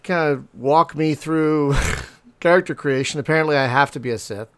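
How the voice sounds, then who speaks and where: A man with a loud voice hosting a casual podcast chat from home over an online call. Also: on the high side, moderate pace, smooth. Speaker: podcast host.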